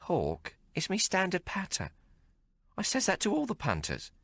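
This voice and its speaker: A man's voice: sits high, wildly swooping pitch, moderate pace, gravelly, a bit bright, dry recording, voice pushed up, full character voice. A man reading aloud and voicing a coarse, fiery young female prostitute. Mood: brazen. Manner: coarse, bold, mocking.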